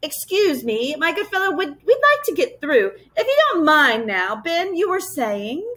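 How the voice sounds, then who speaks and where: A woman voicing a steady, polite male professor in a children's storybook, speaking with calm, encouraging emphasis. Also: high-pitched, a little slow, pitched above the performer's own voice, exaggerated voice. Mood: breezily polite. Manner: emphatic, courteous, determinedly calm.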